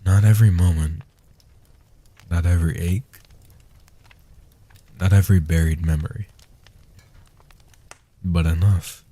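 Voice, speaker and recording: Man voicing a deep, husky, gentle vampire guardian. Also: steady tone. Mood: gentle, reassuring. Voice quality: soft-spoken, husky. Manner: slow, hushed delivery with long pauses. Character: centuries-old male guardian vampire, gentle, protective, patient. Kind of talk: soft-spoken comfort roleplay audio.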